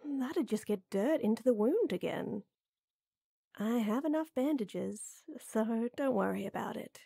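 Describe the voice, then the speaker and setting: A woman playing a tall, strong lady knight who flirts and teases softly and coyly in a roleplay audio drama. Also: fairly high pitch, lively intonation, unhurried, vocal fry, no room sound, clean studio sound, heavily acted. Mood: caring, reassuring. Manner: teasing, coy, soft and intimate with chuckles.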